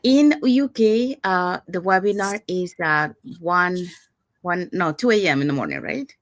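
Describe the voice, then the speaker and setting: A woman's voice: lowish voice, big pitch swings, a little slow, dry recording, clean studio sound. A woman from Panama hosting a live online talk over a video call, chatty with many fillers. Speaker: host. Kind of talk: casual livestream hosting.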